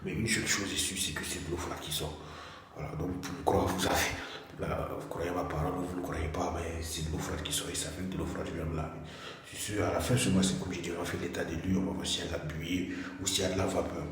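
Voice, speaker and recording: A man with a cold talks casually to his phone camera in a small bathroom, his voice low and even. He repeats himself and restarts often, and the small room echoes around him. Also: fast, slight background noise. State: has a cold. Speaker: vlogger. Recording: echoey room with faint ambience.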